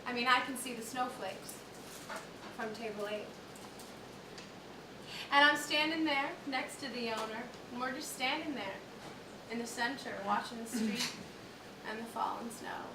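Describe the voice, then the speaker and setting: Woman, thirties, high voice, theatrical storyteller, full of laughs. Roomy theatre recording from far off. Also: a little slow, pauses often, background noise. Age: 30s. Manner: storytelling with laughs, mimicking customers. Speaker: solo stage performer. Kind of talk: theatrical monologue. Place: theatre stage with live audience. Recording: roomy theatre sound from a distance. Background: upright bass, audience laughter.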